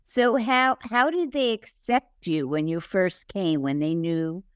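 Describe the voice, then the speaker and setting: A woman's voice: fairly low pitch, animated, takes it slowly, clean tone, no echo, clean studio sound. A woman from New Jersey co-hosting a podcast, asking curious, playful questions. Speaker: podcast co-host. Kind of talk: casual chat.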